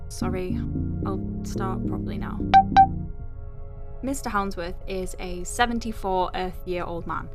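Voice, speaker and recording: A woman's voice: mid-range voice, close to an everyday voice. A woman playing an exasperated, wry doctor at a space clinic in an audio drama, with a sardonic, conversational delivery. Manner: sardonic, conversational, hesitant asides. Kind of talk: audio drama monologue, dictating a letter. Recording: music behind the voice. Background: music.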